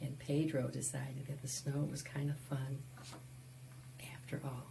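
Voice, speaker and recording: A woman's voice: extremely low pitch, flat delivery, unhurried, creaky, noisy background. A woman presenting a children's storytime, reading aloud expressively and chatting to young listeners with asides and questions. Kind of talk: read-aloud picture book storytime for preschoolers.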